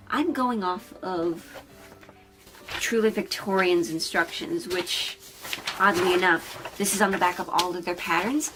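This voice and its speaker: A woman's voice: medium pitch, fairly bright tone. Woman, mid-range voice, casual vlog chat, thinking aloud, rambling, self-deprecating, informal hobbyist host. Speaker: video host and hobby historical seamstress.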